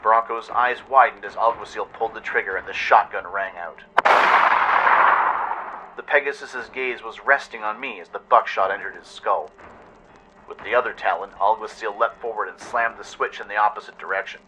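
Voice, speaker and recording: A man's voice: fairly low pitch, moderate pace, noisy background. A man narrating a scripted adventure story dramatically, as in a radio play. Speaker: narrator and radio show host.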